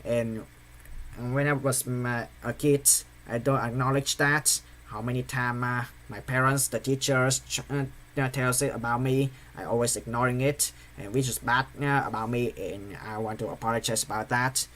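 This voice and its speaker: A man's voice: medium pitch, steady tone, a bit bright. Hesitant young man with a Vietnamese accent. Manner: halting, hesitant, many fillers and restarts. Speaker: channel host. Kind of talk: apologetic personal confession.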